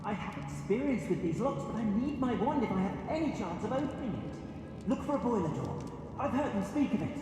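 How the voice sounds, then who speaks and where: An actor playing a captive wizard who pleads for his wand and then slowly tells a sad tale. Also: extremely high pitch, lively intonation, music in the background. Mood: pleading. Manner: pleading, then an unhurried storyteller. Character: captive older wizard, earnest and grateful. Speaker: rescued prisoner.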